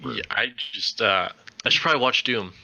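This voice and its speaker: A man co-hosting a casual film podcast, working out his thoughts in halting, tentative phrases. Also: mid-range voice, vocal fry, pushed and bright, dull audio. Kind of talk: casual group chat.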